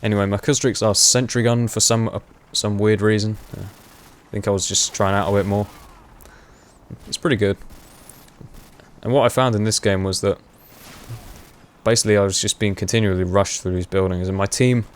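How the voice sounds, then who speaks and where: A young man giving casual, rambling commentary over gameplay, with many fillers and frequent laughs, his voice getting hoarse. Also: fairly low pitch, fairly even intonation, normal speed. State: voice going hoarse. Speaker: gaming commentator.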